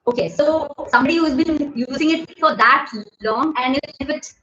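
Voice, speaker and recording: A woman in her thirties with an Indian English accent, hosting a casual live Q&A from her bedroom and filming on her phone. She has a loud voice, chats easily, laughs often and thanks viewers by name. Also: high-pitched, moderate pace. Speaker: livestream host. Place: bedroom at home. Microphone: phone camera at desk distance. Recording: echoey room, dull phone audio, faint hiss.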